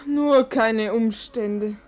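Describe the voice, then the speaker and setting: An actress playing a timid, dutiful housemaid who speaks deferentially in a German radio play. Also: female voice, a little high, drawn-out words, a little mellow, faint music behind. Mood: dutiful, bewildered. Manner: deferential, hesitant.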